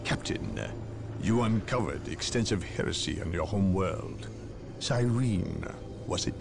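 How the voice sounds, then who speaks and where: A man's voice: low voice, a little slow, gravelly, background noise. An actor playing an arrogant male inquisitor, speaking with clipped, cutting, condescending politeness. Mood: cold. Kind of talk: video game cutscene acting.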